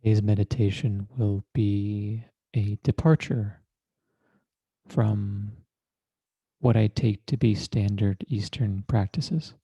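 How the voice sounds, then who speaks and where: A man leading a guided meditation as a teacher, speaking in a deliberate, measured way with long pauses and formal, precise wording. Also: on the low side, fairly even intonation, gravelly, dark tone, husky, dry recording, rough recording.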